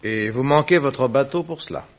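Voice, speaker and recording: An actor playing a composed, shrewd detective with an incisive, courteous manner in a French radio drama. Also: male voice, mid-range voice, moderate pace, lightly acted. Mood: probing, polite. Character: composed, shrewd male detective, probing and politely ironic. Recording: dull, muffled vintage radio recording.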